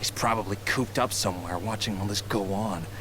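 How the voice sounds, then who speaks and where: An actor playing a bitter, entitled grown son scheming for money in a video game, with a sneering, resentful manner. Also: male voice, on the low side, a little fast, noisy background, close to an everyday voice. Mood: grudging recollection. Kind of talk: English video game voice acting. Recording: music clearly behind.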